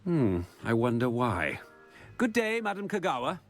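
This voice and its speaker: A man's voice: medium pitch, wildly swooping pitch, unhurried, faint music behind. A man playing a pompous, blustering, evasive headmaster in a video game, hemming and flustered. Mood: haughty. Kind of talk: video game character acting.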